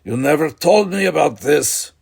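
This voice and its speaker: A man voicing a bitter, resentful older brother in an audiobook, shrill and sarcastic. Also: lively intonation, moderate pace, gravelly, a little mellow, breathy edge, exaggerated voice. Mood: angry. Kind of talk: audiobook character voice.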